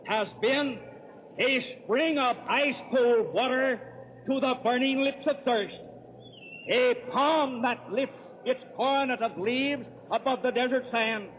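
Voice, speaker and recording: A male political orator declaims grandly at a very high pitch, drawing out each phrase slowly. It comes through a tinny old radio broadcast. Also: a little hiss or hum. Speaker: convention orator. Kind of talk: florid political nominating speech.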